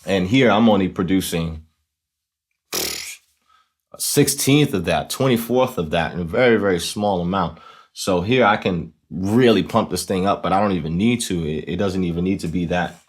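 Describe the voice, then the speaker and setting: Male tutorial host, very low register, casual explainer delivery, conversational with frequent check-ins. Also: normal speed, breathy edge. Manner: conversational, often checking in with "right?". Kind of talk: casual instructional demonstration.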